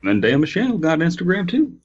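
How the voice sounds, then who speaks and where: A man's voice: fairly high pitch, wildly swooping pitch, fast, pitched above the performer's own voice. Mock statesman with a drifting Southern drawl, played by a man, podcast comedy, slightly muffled sound. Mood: mock earnest. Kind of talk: comic impression on a podcast.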